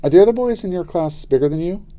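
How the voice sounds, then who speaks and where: A man's voice: high-pitched, animated, fast talker, warm tone, pitched above the performer's own voice. A man voicing a helpful, confident older brother in a children's storybook, encouraging and matter-of-fact. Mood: casual, advising. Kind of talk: children's storybook character reading.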